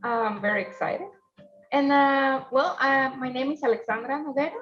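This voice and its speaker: A woman from Venezuela, answering interview questions eagerly with pauses and fillers. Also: high voice, soft background music. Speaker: guest, mechanical engineer. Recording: dull online call audio.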